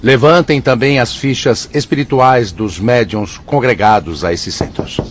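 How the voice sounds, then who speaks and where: An actor with a Brazilian accent playing a solemn, benevolent spirit director in a radio drama, speaking formally and with authority. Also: male voice, medium pitch, measured pace, lightly acted. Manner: formal, declamatory. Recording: dull lo-fi audio, faint noise.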